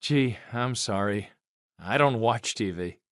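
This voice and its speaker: A woman's voice: extremely low pitch, animated, slow pace, no room sound, studio quality, full character voice. A woman voicing a curious conversation partner in a scripted English lesson dialogue.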